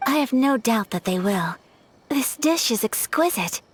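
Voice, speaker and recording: A woman voicing a gentle, refined young noblewoman who speaks formally and politely in a game cutscene. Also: a little high, animated, measured pace, noisy background. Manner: formal, polite and soft-spoken. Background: game music.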